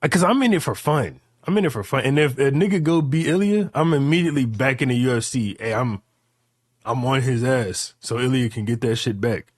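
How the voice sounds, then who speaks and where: A man's voice: mid-range voice, expressive, brisk, breathy edge, dry recording, clean studio sound. A man in his late twenties chatting casually and rambling through hypotheticals, repeating phrases emphatically with profane slang. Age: late 20s.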